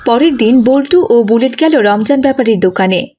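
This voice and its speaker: Female storyteller for children's animation: scripted narration, moderately high register. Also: expressive, moderate pace, heavy background noise.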